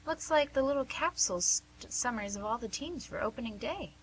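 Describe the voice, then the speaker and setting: A woman's voice: a little high, animated, a little fast, lightly acted. A woman playing a cheerful, probing, slightly fumbling nurse in a radio drama, speaking in a coaxing, conversational way. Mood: upbeat, probing. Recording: noisy amateur recording with faint hum.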